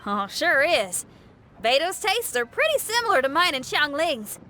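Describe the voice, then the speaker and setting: A woman's voice: sits high, very animated, normal speed, pushed and bright, slight background noise, heavily acted. A woman voicing a spirited, friendly young female musician in a video game, with a folksy, casual way of talking. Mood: agreeing, animated. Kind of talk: animated video game character acting.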